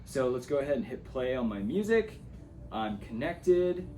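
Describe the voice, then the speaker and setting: Mellow-voiced man giving a casual tutorial. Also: medium pitch, sing-song, moderate pace, soft background music. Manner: explanatory, step by step. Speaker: audio gear instructor. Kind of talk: casual hands-on product tutorial.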